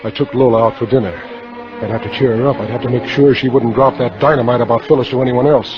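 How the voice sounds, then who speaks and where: A man's voice: medium pitch, steady tone, quick pace, over music, natural voice. A man acting a hard-bitten insurance salesman in 1950s radio drama, with terse noir delivery, on a muffled vintage recording. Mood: brooding narration.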